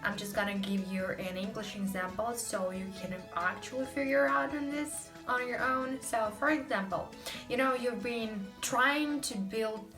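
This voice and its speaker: A woman's voice: a little high, fairly even intonation, moderate pace, roomy sound, distant mic, music in the background. A young woman with a Russian accent, hosting a casual language lesson, explaining chattily with many fillers. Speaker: host.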